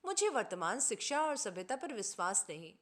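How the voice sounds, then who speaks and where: Woman reading as an earnest, animated idealist man. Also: on the high side, brisk. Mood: earnest, indignant. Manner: earnest, oratorical, formal. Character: idealistic, indignant man preaching justice and selfless service.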